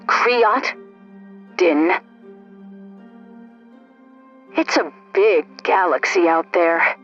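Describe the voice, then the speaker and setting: Woman playing defiant female rebel leader, deliberate delivery, resolute, dramatic audio drama, slightly dull recording. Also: lowish voice, fairly bright tone. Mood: steely, eager. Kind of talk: dramatic first-person audio drama narration.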